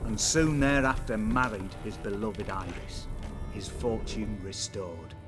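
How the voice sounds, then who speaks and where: A man's voice: fairly low pitch, close to an everyday voice. A man playing a learned, dramatic occult scholar in a video game, speaking cryptically and posing rhetorical questions. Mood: ominous storytelling. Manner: cryptic, rhetorical, drawn-out phrasing. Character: learned, dramatic male occult scholar explaining evil pacts. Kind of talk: theatrical video game dialogue. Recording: music behind the voice.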